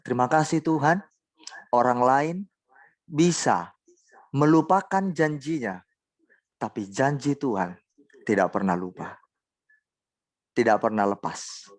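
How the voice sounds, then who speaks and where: A man preaching in a relaxed, joking way and calling on church members by name during an online service. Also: medium pitch, slow pace, pauses often, no room sound. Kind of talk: informal sermon and group chat.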